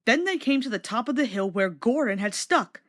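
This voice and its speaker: Lively, high-voiced woman narrating a storybook. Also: normal speed. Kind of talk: scripted children's read-along narration.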